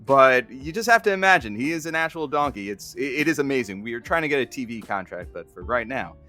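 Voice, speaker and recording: Casting: an eager local-radio promo announcer, sharp bright tone, lively swings, music behind. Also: male voice, medium pitch, quick pace. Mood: enthusiastic, folksy. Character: enthusiastic small-station radio announcer plugging a show.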